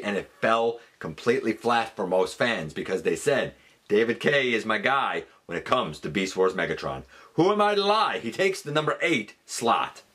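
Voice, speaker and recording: A man's voice: medium pitch, a bit bright. A man hosting a countdown with enthusiastic commentary, wordy and theatrical in his emphasis, dropping into conversational asides. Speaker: host of a countdown video.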